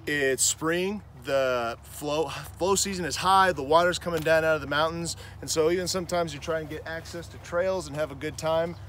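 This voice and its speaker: A man's voice: a little high, normal speed, hardly any pauses, fairly bright tone. Outdoor vlogger, thirties man, enthusiastic and chatty, camera mic with light background hiss. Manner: enthusiastic, chatty, pointing out plants. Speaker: gardening vlogger. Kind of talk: walking nature vlog. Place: outdoor trail in a nature preserve. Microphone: handheld camera framing, on-camera mic.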